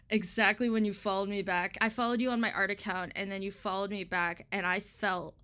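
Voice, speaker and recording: Woman, medium pitch, artist guest in an informal interview. Giggly, eager, self-deprecating, conversational. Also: sharp tone, clean tone. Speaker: illustrator being interviewed. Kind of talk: casual chatty interview.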